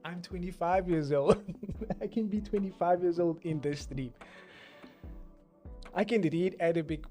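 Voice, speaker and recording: A man's voice: a little high, a little mellow. A South African man in his twenties hosts a casual livestream, talking unhurriedly in a slightly raised voice. He rambles and jokes, talking straight to his viewers. Faint music plays under his voice. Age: 20s. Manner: joking, rambling, talks straight to chat. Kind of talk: casual live stream chat.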